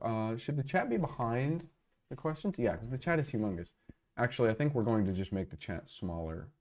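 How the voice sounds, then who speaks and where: A man's voice: medium pitch, animated, fairly quick, a little mellow. A man hosting a casual livestream, rambling and joking as he talks to his chat on a lapel mic. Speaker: livestream host.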